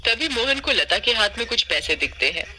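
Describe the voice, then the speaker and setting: A woman's voice: fairly high pitch, big pitch swings, fairly quick, bright, forceful tone, faint music behind. A woman reading scripted narration for a cartoon story.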